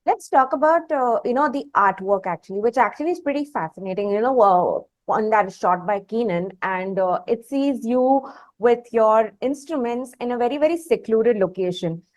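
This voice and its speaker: A woman with an Indian accent hosting a conversational music interview, phrasing her questions in a hesitant, pausing way. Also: fairly high pitch, expressive, a little mellow. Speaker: interview host.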